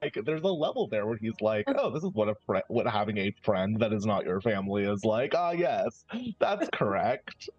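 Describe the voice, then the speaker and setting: Low-voiced man, muffled lo-fi sound. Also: very animated, normal speed, breathy edge, dry recording. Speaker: tabletop roleplay player. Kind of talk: casual out-of-character chat about a roleplaying game. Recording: dull lo-fi sound, background music.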